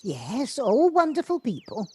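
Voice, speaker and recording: Casting: actress as genteel, chatty neighbour woman, kindly but a little reserved, lively intonation, home-recorded audio play. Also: fairly high pitch, measured pace, husky, exaggerated voice. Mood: chatty, approving. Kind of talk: amateur audio drama acting. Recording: home-recorded on a personal device.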